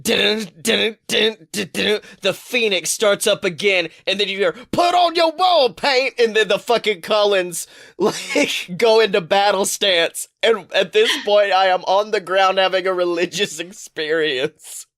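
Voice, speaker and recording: A man with a somewhat high-pitched voice talks as a podcast co-host. He chats casually about pop culture, rambling through stories in an animated way and swearing freely. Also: measured pace. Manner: animated, profane, rambling storytelling.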